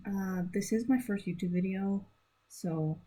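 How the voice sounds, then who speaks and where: Nervous woman, mellow. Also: medium pitch, a little slow. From French Canada. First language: French. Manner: hesitant, many ums, giggly. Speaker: first-time YouTube French teacher. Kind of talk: casual beginner tutorial.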